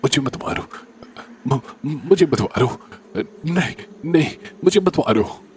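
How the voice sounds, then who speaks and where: A man's voice: on the high side, expressive, slow, background noise, voice pushed up. A man voicing a terrified husband in a horror story, pleading in a panicked stammer. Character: terrified young husband pleading for his life.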